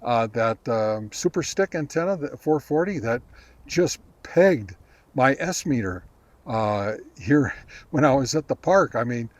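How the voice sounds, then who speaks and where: A man narrating a casual product test as a review host, talking step by step in a halting way. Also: medium pitch, expressive. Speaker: host of a ham radio gear review channel.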